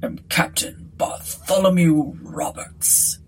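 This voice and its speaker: Woman as male pirate ghost captain, genteel, cool, breathy, low-ish, drawn-out delivery, storybook acting. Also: fairly even intonation, slight background noise. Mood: courteous. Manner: gentlemanly, unruffled, leisurely.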